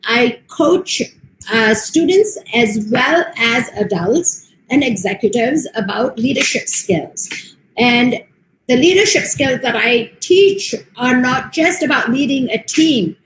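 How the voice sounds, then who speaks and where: A woman's voice: on the high side, reverberant, slight background noise, rough recording. American woman giving a motivational talk. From United States. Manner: steady, earnest delivery with frequent um fillers. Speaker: motivational speaker. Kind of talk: online presentation.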